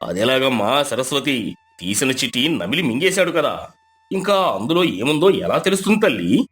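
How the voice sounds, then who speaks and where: A man's voice: medium pitch, big pitch swings, a bit bright, faint music behind. Man acting a solemn village council elder. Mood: solemn, judicial. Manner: measured, solemn. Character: fair-minded, authoritative male village council elder. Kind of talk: dramatized moral story.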